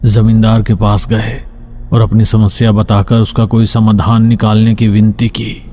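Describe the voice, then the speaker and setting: A man's voice: on the low side, dark tone. A man narrating a scripted horror story.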